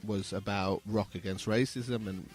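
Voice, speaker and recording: A man in his fifties from England, recalling things as an interview guest in a halting, reflective way. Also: on the low side, steady tone. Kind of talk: casual interview recollection.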